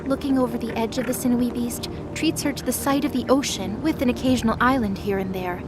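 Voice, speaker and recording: A woman's voice: on the high side, quick pace. A woman narrator reading a scripted mythological drama as story narration. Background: music.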